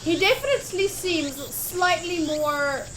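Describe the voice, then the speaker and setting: A woman streaming a game playthrough, chatting casually and reading the text aloud in an expressive, enthusiastic way. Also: extremely high pitch, measured pace, a little hiss or hum. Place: livestream setup.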